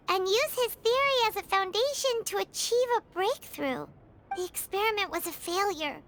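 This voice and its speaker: Squeaky tiny fairy girl, very high. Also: heavily acted. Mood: grave, reading aloud. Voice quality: squeaky, childlike. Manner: third-person self-reference, exclamatory, expressive. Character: tiny floating fairy companion girl, excitable, childlike, easily frightened. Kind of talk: animated video game voice acting. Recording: music behind the voice.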